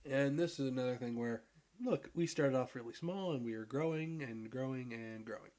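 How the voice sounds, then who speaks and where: A man with a deep voice, hoarse from a cold, giving relaxed, rambling commentary while playing a puzzle game. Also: moderate pace. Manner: relaxed, rambling, clears throat and laughs at himself. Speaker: gaming video creator. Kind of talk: casual gameplay commentary.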